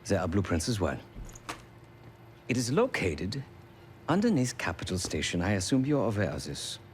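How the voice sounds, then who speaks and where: An actor playing an East German defector, a former enforcer turned tech expert, with a German accent and a measured, drily polite delivery. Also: male voice, deep voice, very animated, slight background noise. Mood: dry, courteous. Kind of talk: video game cutscene acting.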